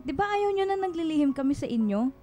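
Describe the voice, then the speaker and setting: A woman's voice: sits high, animated, fast, dark tone. A Filipino woman playing a dutiful but resentful teenage elder daughter in a radio drama. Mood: surprised, accusing. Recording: music behind the dialogue.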